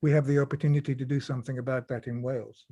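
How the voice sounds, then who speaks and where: A man's voice: mid-range voice, little pitch movement, rapid-fire, warm tone, no room sound, lo-fi sound. A man speaking as a panelist over a video call, reflective and repeating words as he works through a thought. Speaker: panelist and writer. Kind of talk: panel discussion.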